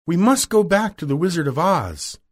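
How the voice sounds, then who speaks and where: A man's voice: on the high side, very animated, normal speed, pitched above the performer's own voice. A man voicing Dorothy as an earnest, eager young girl in a children's storybook.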